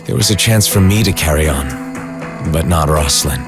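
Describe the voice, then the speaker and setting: An extremely deep male voice narrates a video game flashback as a somber clone. The delivery is grave and measured, under very loud music. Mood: somber, resolute. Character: somber male clone recalling his grim past in flashback. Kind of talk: scripted game story narration.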